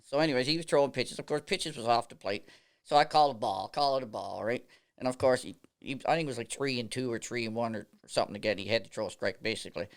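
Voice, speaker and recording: A man's voice: mid-range voice, fast talker. A man, an umpire telling stories in a casual podcast chat. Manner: animated storyteller, laughs through anecdotes, many fillers. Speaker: baseball umpire, podcast guest.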